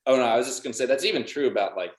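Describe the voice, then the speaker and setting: A man's voice: mid-range voice, lively intonation, racing. A man who makes music, chatting casually as an interview guest in a roomy, echoing recording. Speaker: band member and music producer, interview guest. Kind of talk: casual chat. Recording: roomy, echoing room sound.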